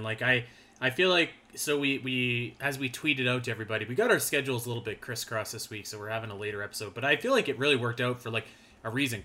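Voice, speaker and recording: A man's voice: medium pitch, bright, forceful tone. A man in his thirties co-hosting a gaming podcast, talking in a rambling, laid-back way with lots of fillers. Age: 30s. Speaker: podcast co-host. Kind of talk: casual gaming podcast chat.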